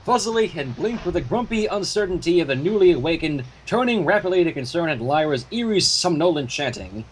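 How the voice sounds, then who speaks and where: A man with a fairly high voice reads a story aloud as a narrator. His delivery swings up and down in an animated way, and he slips into casual commentary. There is faint noise in the recording. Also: fairly quick, poor audio. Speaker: fan fiction reader and commentator.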